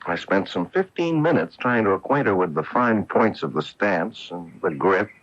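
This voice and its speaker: Expressive, deep-voiced husky pro golfer. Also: male voice, moderate pace, a little hiss or hum. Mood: wistful storytelling. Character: wistful, earnest male professional golfer recalling his wife. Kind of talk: radio mystery drama acting, narration and dialogue. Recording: dull lo-fi AM radio broadcast.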